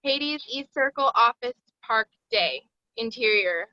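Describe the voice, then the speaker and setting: A woman's voice: a little high, expressive, slow, bright, forceful tone, no room sound, lo-fi sound. A woman narrating stage directions at a screenplay table read.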